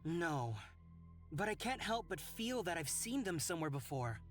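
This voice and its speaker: A woman voicing a bold, curious and flirty traveller in a fantasy visual novel, expressive and teasing. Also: fairly low pitch, moderate pace, faint music behind.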